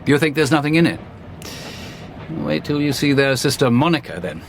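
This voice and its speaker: An actor playing a confident, opinionated Victorian police inspector in a radio drama, humming and then pronouncing firmly. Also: male voice, on the high side, lively intonation, normal speed. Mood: opinionated, assured. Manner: hesitant hums, assertive pronouncements. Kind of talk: period radio drama acting. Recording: clear background noise.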